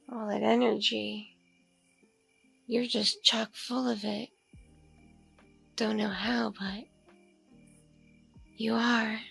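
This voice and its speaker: A woman voicing a seductive, doting mature vampire in an intimate ASMR roleplay, husky and murmuring, slipping into whispers. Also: on the high side, fairly bright tone, pitched below the performer's own voice, heavily acted. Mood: sated, pleased. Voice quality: husky, creaky.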